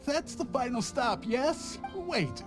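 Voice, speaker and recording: A man's voice: extremely high pitch, expressive, takes it slowly, music in the background, exaggerated voice. A man voicing a cowardly, scheming visiting merchant in a game, stammering and panicky. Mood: nervous.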